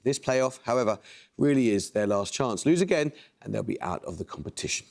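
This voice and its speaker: A man's voice: medium pitch, expressive, creaky, a little mellow. Precise male quiz host. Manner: measured, precise question-reading. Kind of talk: reading quiz questions and introductions. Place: TV studio.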